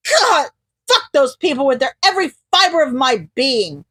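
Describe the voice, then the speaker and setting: A woman voicing an excitable, foul-mouthed young man who talks emphatically, in a fan fiction audio reading. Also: high-pitched, normal speed, no room sound. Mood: indignant. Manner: indignant, emphatic, cursing, nervous stammering.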